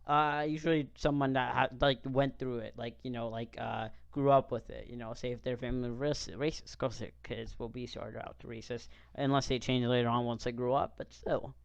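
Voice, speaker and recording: Young male reaction host, halting and rambling, smooth voice, dry lo-fi mic. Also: medium pitch, steady tone, no echo. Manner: rambling, halting, many fillers and restarts. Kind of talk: casual commentary over a clip.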